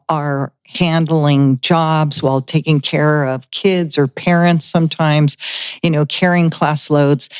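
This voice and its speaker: An American woman talks as an interview guest in a smooth, clear voice with a dry, close sound. Her delivery is conversational, earnest and slightly hesitant. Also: low-pitched, darkish tone, dry recording. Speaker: foundation board president, guest.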